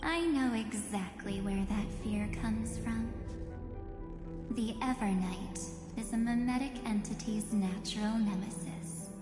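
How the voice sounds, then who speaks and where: A woman plays a cool, quietly threatening female entity from a fantasy game. She speaks slowly and deliberately at a medium pitch. Her voice echoes over clear background music. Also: natural voice. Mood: cool menace. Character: enigmatic, coldly menacing female memory-swallowing entity. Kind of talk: scripted fantasy game voice acting. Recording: echoey hall reverb with music behind.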